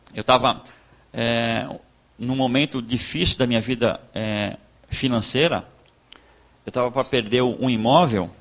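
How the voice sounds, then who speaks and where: A man with a southern Brazilian accent from Rio Grande do Sul, preaching informally in a conversational, halting storytelling way, repeating words and acting out dialogue. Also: medium pitch, a bit bright. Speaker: pastor giving a talk. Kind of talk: informal sermon with personal testimony.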